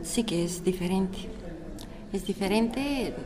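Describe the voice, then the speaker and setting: A young woman from Bulgaria with a Bulgarian accent, answering interview questions in slightly halting non-native Spanish. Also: medium pitch, music in the background. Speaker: interview guest.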